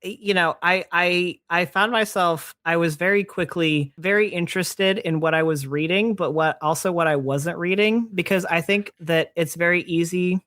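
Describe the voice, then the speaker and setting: A podcast host in their late twenties explaining fantasy lore in casual chat, halting and chuckly but enthusiastic. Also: mid-range voice, fairly bright tone, no room sound, studio quality. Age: late 20s.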